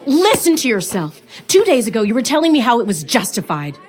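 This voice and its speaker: A woman's voice: fairly high pitch, sing-song, a little fast, husky, a faint crowd. An actress playing a distressed, guilt-ridden woman news editor in an audio drama, pleading and urgent. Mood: frantic disbelief.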